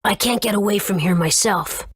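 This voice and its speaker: A woman's voice: medium pitch, moderate pace, breathy edge, dry recording, studio quality, lightly acted. A woman voicing a caring but scolding young guardian of creatures in a video game, earnest and fretful. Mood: pleading.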